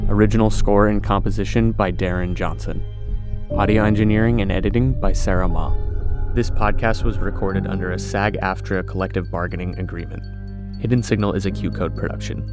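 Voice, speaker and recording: A man's voice: fairly low pitch, steady tone, a little fast. A man reading scripted podcast credits as an announcer. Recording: studio read over clear music.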